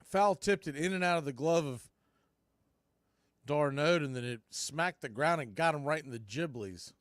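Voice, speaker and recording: High-pitched man calling baseball play-by-play. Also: moderate pace, fairly bright tone, no echo, clean studio sound. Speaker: play-by-play baseball announcer and stream host. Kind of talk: live play-by-play commentary.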